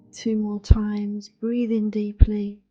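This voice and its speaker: A woman guiding a yoga nidra relaxation in a soothing, hushed, unhurried manner, close to the microphone. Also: mid-range voice, no room sound, lo-fi sound. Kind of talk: guided relaxation meditation.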